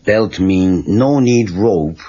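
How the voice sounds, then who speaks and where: An actor playing a wry, calm old Okinawan karate master with a Japanese accent, speaking terse, clipped broken English in a husky voice. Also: male voice, deep voice, slow, full character voice. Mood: patient, dry. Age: older. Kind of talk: film dialogue. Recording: dull lo-fi cassette tape.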